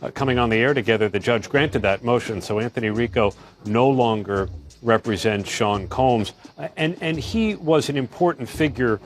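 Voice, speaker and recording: A man's voice: medium pitch, moderate pace, soft background music. A man giving an unscripted live news report.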